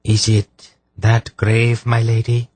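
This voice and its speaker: A man's voice: medium pitch, completely flat delivery, slow, darkish tone, dry recording, voice pushed up. A man voicing an anxious woman healer. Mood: distressed. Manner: earnest, respectful, pleading. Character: anxious young woman healer, earnest and deferential.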